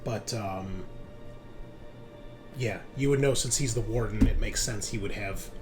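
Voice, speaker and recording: A man's voice: lowish voice, unhurried. Man running a tabletop game over music. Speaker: game master. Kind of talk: casual tabletop roleplaying game session.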